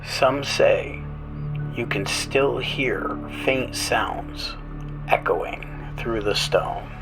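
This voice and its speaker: Slow, hushed male horror narrator. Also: mid-range voice, creaky, over music, rough recording. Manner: slow, theatrical, dropping to hushed whispers. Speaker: horror show host and narrator. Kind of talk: scripted horror story narration.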